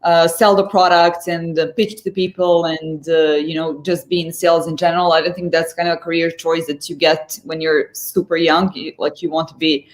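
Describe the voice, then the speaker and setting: A woman's voice: low-pitched, level tone, normal speed, hardly any pauses. A young woman with a Serbian accent, a guest on a live stream interview, talking fluently with many fillers and restarts. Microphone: video call.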